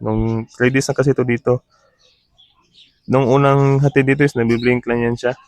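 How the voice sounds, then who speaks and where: A man's voice: medium pitch, little pitch movement, moderate pace, darkish tone, clear, resonant tone, dry recording. A man from the talks through a hands-on repair. He is informal and practical, explaining each step as he goes. English technical terms are mixed into his speech. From Philippines. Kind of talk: casual repair demo vlog.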